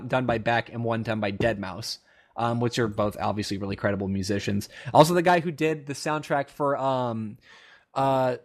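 A young man co-hosting a casual gaming podcast, rambling and joking with friends, often restarting his sentences. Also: mid-range voice. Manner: rambling, self-interrupting, joking. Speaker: podcast co-host. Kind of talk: casual chat among friends.

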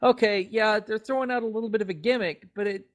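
A man co-hosting a podcast, talking casually and giving his opinions in an animated, skeptical, sarcastic way. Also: sits high, fast talker. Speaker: podcast co-host.

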